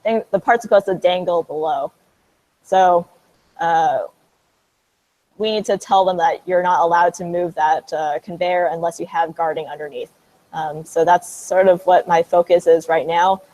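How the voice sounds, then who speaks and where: A man's voice: fairly high pitch, steady tone, normal speed, a little mellow, low-quality recording. A man in his late twenties on a panel, casually explaining his engineering job with lots of fillers. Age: 20s. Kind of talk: panel answers to students.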